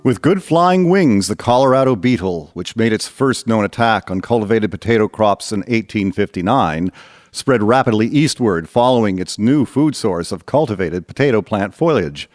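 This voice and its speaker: A man's voice: on the low side, animated, normal speed, a little mellow, no echo, studio quality. A man narrating a scripted historical radio drama in a storytelling style.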